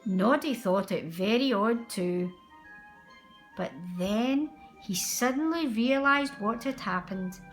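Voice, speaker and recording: Woman, children's read-aloud narrator, expressive, unhurried, lively pitch. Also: soft background music. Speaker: storyteller.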